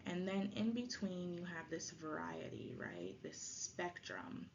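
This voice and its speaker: Woman lecturing, low creaky voice. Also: big pitch swings, slow. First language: Jamaican Patois. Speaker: presenter, lecturer. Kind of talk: explanatory academic presentation. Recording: dull lo-fi audio, faint noise.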